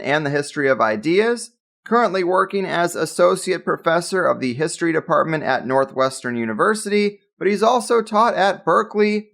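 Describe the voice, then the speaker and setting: A man's voice: a little high, creaky, a bit bright. A man hosting a podcast, reading an emphatic scripted intro and then chatting casually with his guest. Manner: emphatic, hype-style introductions. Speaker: podcast host.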